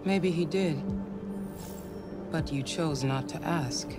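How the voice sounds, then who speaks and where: A woman playing a cool, commanding handler in a video game, speaking in a measured, formal, probing way. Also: deep voice. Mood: grave. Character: cool, composed, commanding female handler of an android. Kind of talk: cinematic video game acting. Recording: loud music behind the dialogue.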